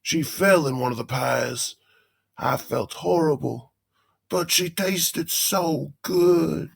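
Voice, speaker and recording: A man's voice: a little high, slow pace, vocal fry, husky, full character voice. A man playing a folksy older animatronic beaver, a cheerily macabre father putting on a hammy stage show in an audio drama. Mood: fondly confessional.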